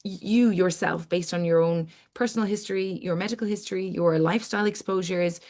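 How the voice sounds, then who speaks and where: A middle-aged woman with a slightly low voice presents an online webinar as its host. She speaks informally, explaining in long sentences that build up lists of points. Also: steady tone, fairly quick, darkish tone. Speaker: webinar host, academic scientist.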